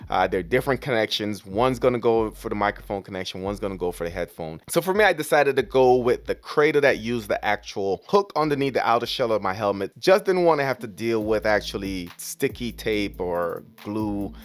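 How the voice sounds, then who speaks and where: A man's voice: fairly low pitch, animated, brisk, few pauses. A man giving a casual, informal voice-over as a vlogger, walking step by step through a product unboxing and setup.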